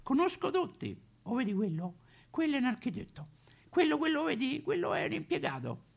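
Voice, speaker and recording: Boozy roman homeless man onstage, cheeky and rambling, high voice swooping all over. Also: huge pitch swings, a little fast, vocal fry, heavily acted. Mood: boastful, wistful. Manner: rambling, foul-mouthed, breaking into singsong and hushes. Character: boozy, cheeky, lonely male homeless tramp of fallen nobility. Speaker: stage actor in a solo show. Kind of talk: theatrical comic monologue addressed to listeners.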